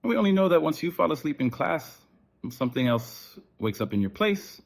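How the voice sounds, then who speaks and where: A man narrating scripted horror as an official emergency broadcast, in a formal, measured, ominous manner. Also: fairly high pitch, very animated, gravelly.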